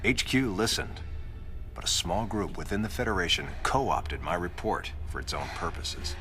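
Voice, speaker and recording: An actor playing a grave, calm military commander in a sci-fi game, speaking in a measured, formal, authoritative way. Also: male voice, low voice, faint music behind. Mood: grave, commanding. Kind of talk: dramatic video game cutscene dialogue.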